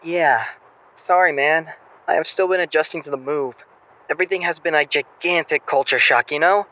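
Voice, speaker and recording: Man playing a high-voiced, breezy young student guy. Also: moderate pace. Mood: awkward, evasive. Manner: flippant, evasive, excitable. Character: breezy, self-absorbed young male exchange student. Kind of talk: audio drama acting as a phone call. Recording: noisy phone-call style recording.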